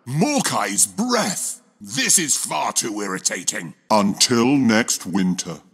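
A surly lone warrior for a game. The mid-pitched voice is husky and gritty, slow in pace, with huge swings in pitch. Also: male voice, sing-song, a bit bright, exaggerated voice. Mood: surly, defiant. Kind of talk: game voice lines, dramatic acting.

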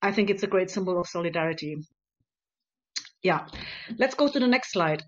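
A woman's voice: on the low side, animated, normal speed. A woman in her thirties giving a webinar talk over slides, earnest and encouraging, with many ums and uhs. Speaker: conference speaker on activism. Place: home room on a video call. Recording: slightly dull lo-fi video call audio.

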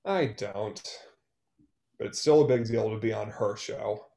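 A man's voice: fairly low pitch, unhurried, creaky, warm tone. A man in his twenties playing a hurt, guarded, wry young man facing an old love, in a play read over a video call. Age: 20s. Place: room at home. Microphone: computer mic on a video call.

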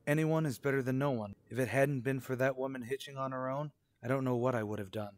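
An actor playing an anxious, obsessive motorist in a radio suspense drama, speaking dramatically and halting when rattled. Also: male voice, medium pitch, dry recording, lightly acted. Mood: haunted, brooding. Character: haunted cross-country motorist, man, anxious, increasingly obsessive. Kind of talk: old-time radio suspense drama acting, first-person narration and dialogue.

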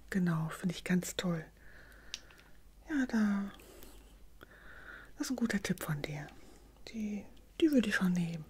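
A middle-aged woman in a gentle, unhurried whispered ASMR roleplay, speaking softly and breathily close to the microphone. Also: lowish voice, a little hiss or hum. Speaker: ASMR creator playing a customer in a drugstore roleplay.

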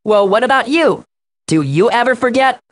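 A woman's voice: on the high side, animated, normal speed, no echo, close to an everyday voice. A woman voicing an earnest little male animal in a children's learning game, with a precise, fussy manner. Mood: curious. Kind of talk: children's educational game acting.

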